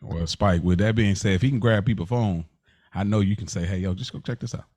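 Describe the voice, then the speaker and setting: A man's voice: fairly low pitch, a little fast, darkish tone, no echo. An American man co-hosting a podcast in casual, informal and reflective conversation. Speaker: podcast co-host.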